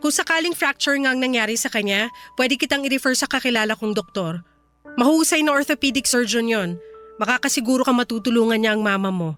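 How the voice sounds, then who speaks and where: A woman's voice: on the high side, fast, a bit bright, soft background music, close to an everyday voice. A woman acting a kind, reassuring company boss in a Filipino radio drama, earnest and composed, with a Filipino accent.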